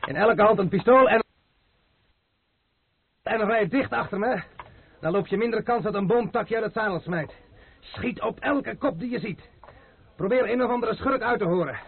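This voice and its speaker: An actor playing a bold gentleman adventurer in a radio drama, speaking in a brisk, commanding, urgent way. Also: high-pitched, breathy edge, exaggerated voice. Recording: muffled old radio play recording.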